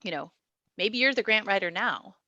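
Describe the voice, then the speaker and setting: A woman's voice: medium pitch, animated, moderate pace, sharp tone, no echo, highs cut off. A woman teaching an online class, talking in an encouraging, conversational way with many fillers over a video call. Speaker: class instructor.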